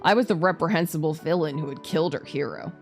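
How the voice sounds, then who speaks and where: Woman, low pitch, game streamer, reading aloud, casual sweary asides, faint music behind. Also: fast. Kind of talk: let's play, reading visual novel text aloud with casual commentary. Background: background music.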